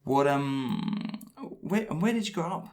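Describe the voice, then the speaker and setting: A man hosting a podcast, asking a guest a list of questions in a brisk, lightly teasing way. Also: fairly high pitch, animated, darkish tone. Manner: brisk, scripted intro then questions. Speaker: podcast host. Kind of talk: podcast interview.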